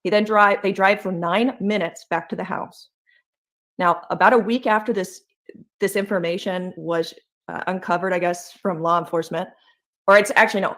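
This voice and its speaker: A woman's voice: medium pitch, a bit bright. A woman giving informal true crime commentary in an emphatic, conversational way, repeating her points for weight.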